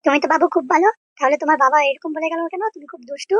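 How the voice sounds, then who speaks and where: Indulgent cartoon tutor man, male performer, pitched up, kindly, dry close sound. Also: racing, a bit bright, no echo, muffled recording. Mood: friendly. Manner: kindly, coaxing. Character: indulgent, easy-going male neighbourhood tutor. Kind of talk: comedy cartoon voice acting.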